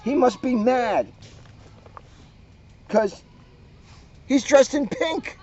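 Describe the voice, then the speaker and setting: A man's voice: extremely high pitch, lively intonation, drawn-out words, a little mellow, muffled recording, voice pushed up, full character voice. A man voicing a mocking witch who taunts children in a storybook, with exclamatory delivery.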